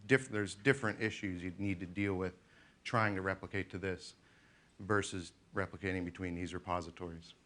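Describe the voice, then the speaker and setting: Man with a low voice presenting. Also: fairly bright tone. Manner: loose, with many "um"s and restarts. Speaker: conference presenter. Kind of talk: technical conference talk. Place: conference room.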